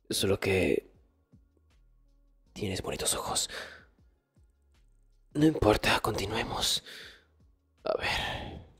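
A man playing an arrogant nerdy young student in an intimate ASMR roleplay, hushed and often dropping into whispers. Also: lowish voice, breathy edge, exaggerated voice. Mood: flustered. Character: arrogant nerdy young male student, exasperated, teasingly dominant. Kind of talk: Spanish ASMR boyfriend roleplay, intimate one-sided dialogue.

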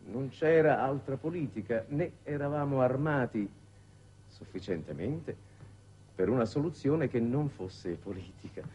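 An actor playing a grave, authoritative foreign minister with formal, measured oratory in a 1960s Italian television drama. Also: male voice, mid-range voice, animated, a little mellow, muffled recording, a little hiss or hum, lightly acted. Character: grave, authoritative foreign minister chairing a space war council. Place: television studio set. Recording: dated 1960s television soundtrack.

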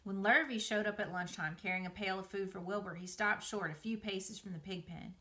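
A middle-aged woman reading a children's story aloud indoors, in an expressive, dramatized way. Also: mid-range voice, a little fast. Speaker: museum educator reading aloud. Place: small room by a window, indoors.

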